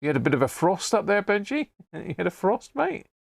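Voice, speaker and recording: Gravelly British man, casual livestream chat. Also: high voice, very animated, a little fast, dry recording, studio quality. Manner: rambling, matey. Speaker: host of a live radio-repair stream.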